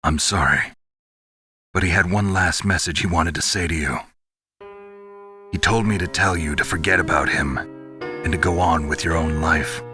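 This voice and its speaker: An actor playing a stoic, world-weary covert soldier in a video game, with terse, dry and solemn delivery. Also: male voice, low-pitched, normal speed, pauses often, sharp tone. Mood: solemn, consoling. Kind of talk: dramatic video game acting. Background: music.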